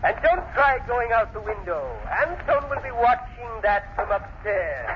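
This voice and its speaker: A man playing a megalomaniac old professor in old radio drama style, theatrical, condescending and calmly menacing. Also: extremely high pitch, unhurried, heavily acted. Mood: coldly menacing. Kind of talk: 1940s radio drama acting. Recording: dull, noisy old radio recording.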